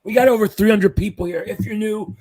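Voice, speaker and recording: A man's voice: sits high, brisk, darkish tone, low-quality recording. A man hosting a casual sports livestream, reading viewer comments aloud as an upbeat fan while he loses his voice. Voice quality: husky, fading voice. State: losing his voice. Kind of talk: casual live-chat commentary.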